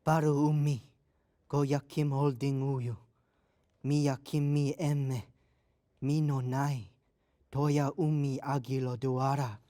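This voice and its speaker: A man's voice: mid-range voice, little pitch movement, takes it slowly, long pauses, dark tone, lightly acted. A man playing an earnest captive tribesman in a fantasy drama, pleading his case. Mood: earnest, defiant.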